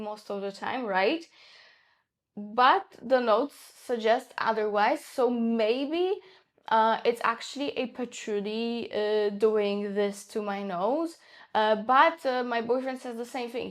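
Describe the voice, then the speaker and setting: A woman's voice: fairly high pitch, a bit bright. A young woman whose first language is Polish, chatting enthusiastically in a casual perfume review, with frequent fillers.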